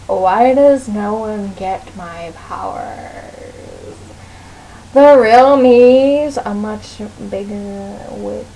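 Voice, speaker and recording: A woman voicing a defiant, scornful teenage witch in a comic read-aloud, with drawn-out sarcasm, on a noisy amateur recording. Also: medium pitch, dark tone. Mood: sarcastic, exasperated.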